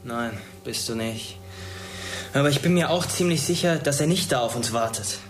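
A man's voice: medium pitch, expressive, normal speed, slight background noise, lightly acted. A young man playing an earnest, pushy teenage schoolboy in a naturalistic radio drama, talking colloquially and urgently to persuade. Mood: determined.

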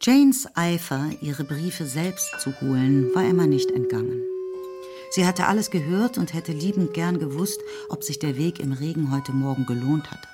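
Lowish-voiced woman narrating over music. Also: expressive, normal speed. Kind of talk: scripted literary narration for radio drama.

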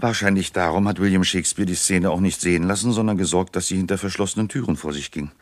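An actor as a wry, reflective storyteller narrating a scripted radio play to the listeners. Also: male voice, low voice, fast, no room sound, clean studio sound, lightly acted.

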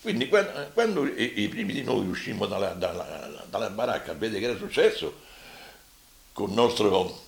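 A very low, crackly-voiced old Roman man recalls the war in halting testimony. The voice rings in an echoing room. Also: big pitch swings, vocal fry. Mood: halting recollection. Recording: roomy, echoing voice.

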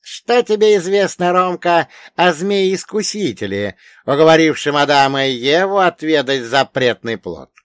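A man voicing a wise, centuries-old dead grandfather in an audiobook, telling old lore in an emphatic, drawn-out, lecturing way. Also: on the high side, expressive, fairly bright tone, no echo, clean studio sound, pitched above the performer's own voice. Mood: probing. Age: older. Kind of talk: audiobook character voice.

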